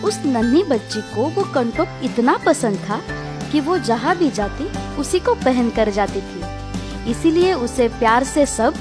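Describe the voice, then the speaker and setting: High, lively woman storyteller. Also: unhurried. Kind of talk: scripted children's story narration. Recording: music behind the voice.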